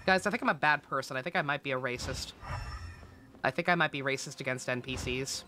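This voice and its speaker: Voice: medium pitch, fast. A video game streamer giving casual, joking gameplay commentary. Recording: game music behind the voice. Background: video game music.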